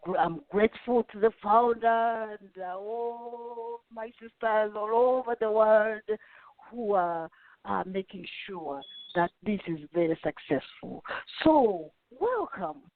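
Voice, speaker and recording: A woman hosting an interview, effusive and gushing, with halting phrasing. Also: mid-range voice, dry recording. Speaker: interviewer, host. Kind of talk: interview over a call. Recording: dry tinny phone-like line.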